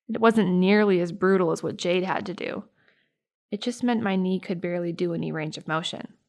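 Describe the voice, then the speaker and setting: A young American woman playing a sympathetic, earnest high school girl recalling a friend in a naturalistic audio drama. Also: a little mellow, natural voice.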